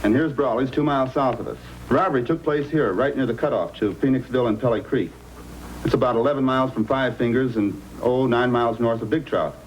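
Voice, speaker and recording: A man's voice: medium pitch, fast, lightly acted, low-quality recording. A man playing a helpful, welcoming frontier police officer in an old-time radio drama, friendly and earnest. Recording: old radio recording, faint noise.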